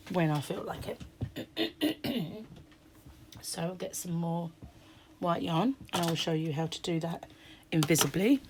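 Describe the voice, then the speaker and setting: Woman, low voice, slightly croaky, chatty tutorial, soft asides. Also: slight background noise. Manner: chatty, dips to soft half-whispers while working. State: croaky sore throat. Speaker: crochet teacher. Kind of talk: hands-on craft tutorial.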